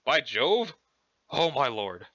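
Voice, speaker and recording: A man's voice: medium pitch, sing-song, slow pace, bright, forceful tone, dry recording, muffled recording, voice pushed down, exaggerated voice. A man voicing a blunt, sarcastic, impatient young human with a dismissive manner in a casual webcomic reading. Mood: deadpan.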